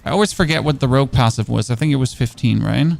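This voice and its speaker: Gravelly man chatting on stream. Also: medium pitch, quick pace, a little mellow, faint music behind. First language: German. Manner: rambling, answers chat questions, frequent restarts. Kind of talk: casual gameplay streaming chat. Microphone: studio dynamic microphone.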